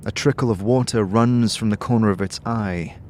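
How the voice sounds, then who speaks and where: A man reading scripted second-person fantasy narration in a measured, descriptive storytelling way. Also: fairly low pitch, darkish tone, slight background noise. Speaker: narrator, game master.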